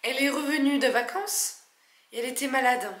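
A young woman in her twenties with a stuffy nose from a cold, chatting excitedly and poking fun at herself while she unboxes a plant in her living room. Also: high-pitched, a little fast, roomy sound. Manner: chatty, excitable, self-mocking. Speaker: plant vlogger. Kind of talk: casual unboxing vlog.

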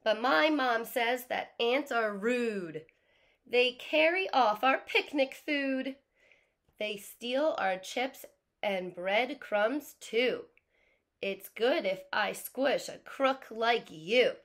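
A defiant young storybook boy, acted by a woman, scornful and bossy. The voice is fairly high and swoops widely, leaning slowly and emphatically on each rhyme. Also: animated, fairly bright tone, heavily acted. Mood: accusing. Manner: slow, emphatic, declaiming rhymes.

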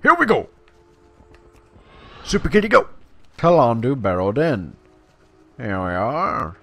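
A man with a medium-pitched voice talks his way through a video game. He is chatty and playful, slangy in his remarks and given to musing to himself, and he also reads quest text aloud. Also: wildly swooping pitch, slow pace, fairly bright tone. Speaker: video game player and commentator. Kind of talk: casual gameplay commentary with quest text read aloud.

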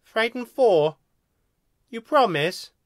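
A man's voice: high-pitched, very animated, brisk, a bit bright, no room sound, studio quality, pitched above the performer's own voice. A man voicing a sulky, petulant male alien underling who protests and pouts in a story reading. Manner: protesting, pouting, emphatic.

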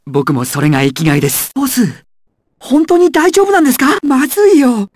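A woman's voice: high voice, very animated, brisk, a little mellow, no room sound, studio quality. A woman voicing a nervous, stammering young male gangster in anime style, servile yet volatile. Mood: anxious, alert. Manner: stammering, swings from timid to explosive. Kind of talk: anime and game dramatic acting.

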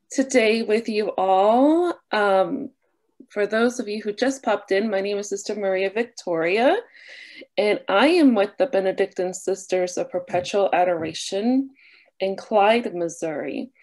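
A woman in her thirties, a nun and vocations director, born in Argentina and raised near New Orleans, talks unhurriedly over a webcam with many fillers and soft laughs. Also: medium pitch. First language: Spanish. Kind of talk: casual talk, opening with a prayer. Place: small bedroom with a wooden armoire, indoors. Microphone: webcam on a video call.

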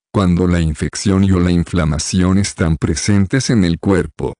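A low-voiced man narrates a medical explainer from a script. His tone is mellow and soft-edged, read evenly and without hurry. The close recording sounds dry, with no room around it. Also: dry recording.

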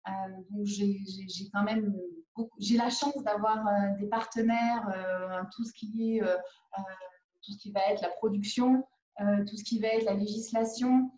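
A woman in her forties, an independent perfumer, talking on a video call from home, halting with many fillers and restarts. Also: mid-range voice, fairly even intonation, mellow. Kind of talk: conference video call interview. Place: living room at home. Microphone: laptop webcam on a video call. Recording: roomy, dull video-call audio.

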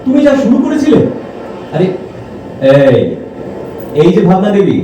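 A young man played by an actor with a high, widely swinging voice, teasing and coaxing his companion in an audio drama. The recording is very echoey. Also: big pitch swings, measured pace, mellow. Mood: mock-offended. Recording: echoey, distant, noisy ambience.

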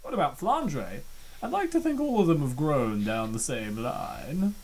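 A comic dub host giving casual, rambling commentary on a webcomic, with playful and excitable reactions. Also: medium pitch, a little slow.

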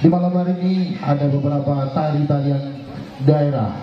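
A man's voice: fairly high pitch, fairly even intonation, normal speed, a little hiss or hum. A middle-aged man working as the MC at a night event, announcing the program in a loose, chatty way through a handheld microphone. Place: outdoor stage at night. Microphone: handheld mic over PA. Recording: distant, echoey PA, lo-fi camera.

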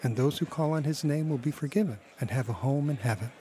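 A reassuring male pastor, played by an actor in a radio drama. His voice is medium-pitched, with faint noise and ambience around it. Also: fast, mellow, natural voice.

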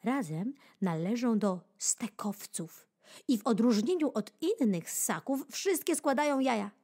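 A woman narrating a children's nature book in an expressive audiobook style, with playful, dramatic pauses and emphasis. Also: a little high. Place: studio. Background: keyboard music.

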